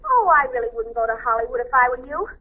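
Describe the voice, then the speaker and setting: Dull old radio recording, envious teenage girl, high-pitched, dismissive, feigned indifference. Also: sing-song, racing. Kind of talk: 1940s radio sitcom acting.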